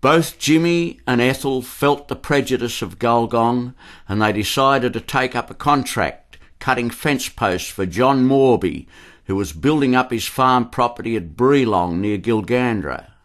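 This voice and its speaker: Medium-pitched male documentary narrator. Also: animated, unhurried. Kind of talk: scripted documentary radio play narration.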